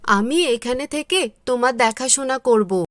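A gentle eldest farm daughter from a cartoon, acted by a woman, speaks in a high voice. She is dutiful and earnest, with a plaintive edge. The recording is dry and close. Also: expressive, measured pace, no echo. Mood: earnest, devoted. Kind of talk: Bengali cartoon dubbing.

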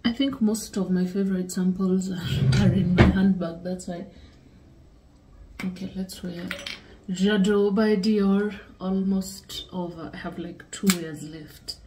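Young woman, lifestyle vlogger, unhurried relaxed chat, faint background hiss. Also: on the low side. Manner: relaxed, chatty, talks to viewers as "guys". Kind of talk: casual vlog chat. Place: home kitchen and dressing room, indoors.

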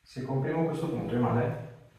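A man's voice: mid-range voice, brisk. Distant, echoey male chiropractor giving terse instructions. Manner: terse, directive. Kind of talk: short spoken instructions to a patient during treatment. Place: small bare clinic treatment room. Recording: echoey room, distant mic, loud noise.

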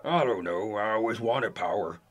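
A man playing a huge, dim, hungry man-eating brute with a gravelly voice in a comedic audio drama. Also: fairly low pitch, moderate pace, close to an everyday voice. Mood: menacing, musing.

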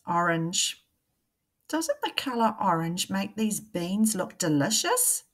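A woman, a primary teacher reading a picture book aloud to young children in a deliberate, questioning way. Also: medium pitch, very animated.

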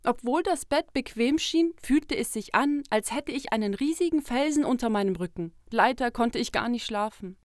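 Woman acting high-voiced, kind young princess. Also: lively intonation, moderate pace, hardly any pauses, no room sound, clean studio sound, lightly acted. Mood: polite. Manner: courteous, gentle. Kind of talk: fairy tale cartoon dialogue.

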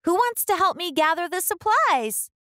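A determined little girl from a children's storybook, voiced by a woman reader. Her voice sits high and rises and falls widely. She speaks emphatically in a sing-song way, sure she can manage by herself. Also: sing-song, normal speed, fairly bright tone, no echo, studio quality, full character voice. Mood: eager, inviting. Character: determined, self-reliant little girl builder.

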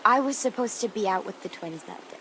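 Guilt-ridden teen girl survivor adult actress for a game drama; naturalistic, halting and dry, mid-pitched, over clear outdoor noise. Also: animated, a bit bright, natural voice, low-quality recording. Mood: guilty, regretful.

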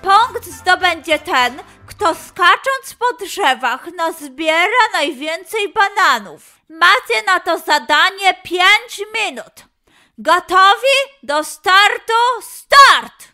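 A woman's voice: very high voice, expressive, slow, pushed and bright, faint music behind, voice pushed up, exaggerated voice. A woman voicing a male beaver who hosts animal games in a children's story, proclaiming in a rousing, showy way.

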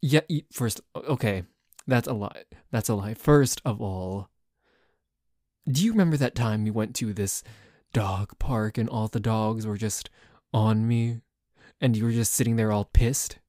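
A man's voice: on the low side, measured pace, darkish tone, no echo, clean studio sound, lightly acted. A man playing a dorky, jealous boyfriend in an ASMR roleplay, complaining in a stammering, exasperated way that sometimes drops toward a whisper. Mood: exasperated, defensive. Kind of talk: ASMR roleplay audio drama.

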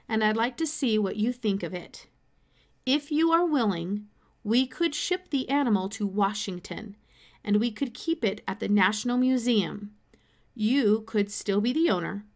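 A kindly museum scientist from a children's book, read by a woman. He speaks calmly and with measured, polite formality. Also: fairly high pitch. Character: calm, kindly male museum scientist, a doctor.

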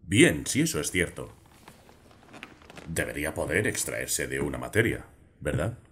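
An actor playing a calm, measured middle-aged psychiatrist in a Spanish film dub, with a Castilian accent and soft-spoken delivery. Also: male voice, a bass voice, husky, exaggerated voice. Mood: patient, testing. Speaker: dubbing actor. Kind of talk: dubbed film drama acting.

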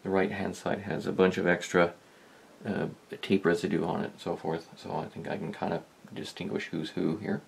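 A man giving a casual hands-on demonstration, talking in a halting, rambling, self-deprecating way. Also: low voice, flat delivery, gravelly, slight background noise. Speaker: host of a vintage electronics video. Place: indoor electronics workbench.

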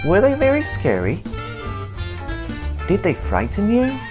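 A storybook reader giving an expressive, theatrical read-aloud for children, deliberate and full of suspense. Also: medium pitch. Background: music and sound effects.

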